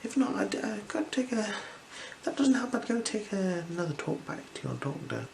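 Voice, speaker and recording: A gentle, unhurried ASMR performer speaking softly and slipping into whispers while role-playing an eye examiner. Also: medium pitch, very animated. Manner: gentle, unhurried, soothing instructions. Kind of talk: ASMR role-play, soft-spoken with whispers. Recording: clear background noise.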